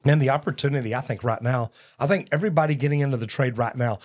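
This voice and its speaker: A man's voice: mid-range voice, fast talker, darkish tone. Folksy host in his sixties. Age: 60s. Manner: folksy, upbeat, calls people "man" and "brother". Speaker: podcast host. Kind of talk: casual live-stream chat. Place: studio set. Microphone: broadcast mic on boom arm.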